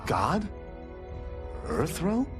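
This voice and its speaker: An actor playing an eager, cocky young martial arts student in a video game. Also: male voice, on the high side, wildly swooping pitch, very slow, over music, exaggerated voice. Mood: eager, incredulous. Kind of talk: video game cutscene acting.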